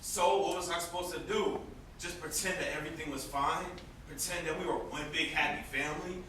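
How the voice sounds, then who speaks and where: Man acting a bitter high-voiced young brother, echoey stage. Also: fairly even intonation, brisk, pushed and bright. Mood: resentful. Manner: sarcastic, confrontational. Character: bitter, sarcastic young brother nursing family grudges. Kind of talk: amateur stage play acting. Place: indoor theatre stage. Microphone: distant camera microphone. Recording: distant echoey stage recording, faint noise.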